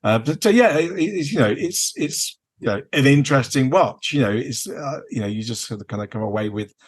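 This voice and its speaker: A man's voice: mid-range voice, expressive, fairly quick. A man chatting casually as a podcast co-host, hesitant and meandering as he searches for words. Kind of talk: casual chat about films.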